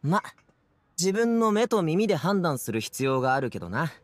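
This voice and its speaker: A man's voice: on the high side, very animated, moderate pace, no echo. A man voicing an easygoing but headstrong young man in an anime game, speaking in a casual, youthful way. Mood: relaxed, amused. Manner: casual youthful masculine speech.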